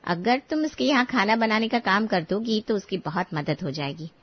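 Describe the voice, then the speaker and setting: A woman's voice: medium pitch, moderate pace, highs cut off, close to an everyday voice. A woman playing a kind, appreciative lady of the house, speaking in a reassuring, affectionate way. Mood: reassuring, persuasive.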